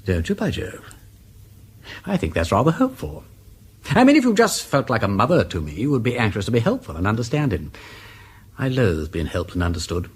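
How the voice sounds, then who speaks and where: An actor playing a flippant, lovestruck aristocratic English gentleman detective in a British radio drama, chattering whimsically in old-fashioned slang. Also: lowish voice, wildly swooping pitch, fairly quick, long pauses, breathy edge, heavily acted. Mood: whimsical, chatty. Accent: upper-class English.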